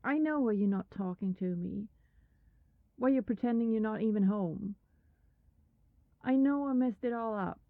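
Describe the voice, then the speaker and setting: A woman playing a lovelorn, anxious vampire neighbor in an ASMR roleplay, pleading haltingly and often slipping into breathy whispers. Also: a little high, no room sound, phone-quality sound, close to an everyday voice. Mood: apologetic, pleading.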